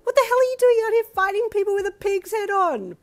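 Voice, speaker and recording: A woman voicing a shrill young woman traveler. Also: lively intonation, fairly quick, a bit bright. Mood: panicked. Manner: shrill, exclamatory pleading and retorts. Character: young woman traveler, scared then exasperated.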